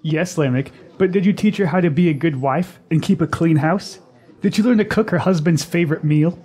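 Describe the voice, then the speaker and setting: A man's voice: on the high side, fairly quick, darkish tone, slightly rough. A man playing a boastful, lovesick young would-be warrior in a fantasy radio drama, emphatic and eager. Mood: taunting.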